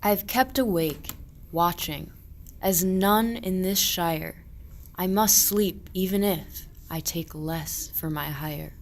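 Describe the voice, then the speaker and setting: A woman's voice: on the low side, slow pace, slight background noise. A woman playing a suspicious, mocking and weary shepherd in a medieval radio play, declaiming archaic verse.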